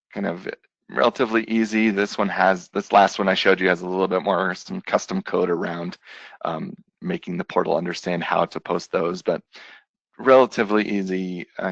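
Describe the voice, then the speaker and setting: Brief: low, gravelly, husky male presenter; relaxed demo delivery, full of ums; dry, dull web-call sound. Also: dry recording. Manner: relaxed, many fillers and restarts. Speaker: software consultant giving a demo. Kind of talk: screen-share product demo.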